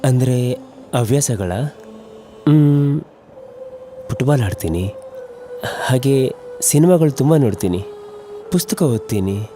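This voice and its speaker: A man voicing a young male train traveller in a horror story, chatty and expressive with some hesitation. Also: mid-range voice, slow pace, long pauses, a little hiss or hum. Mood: friendly, eager. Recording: music behind the voice.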